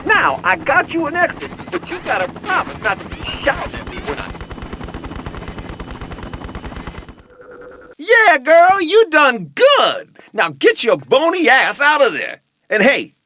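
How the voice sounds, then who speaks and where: An actor with an American accent voicing a wisecracking, streetwise, excitable tech sidekick in a video game, with slangy, cocky banter. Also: male voice, very high voice, measured pace, no echo, heavily acted. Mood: teasing, urging. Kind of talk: video game cutscene voice acting.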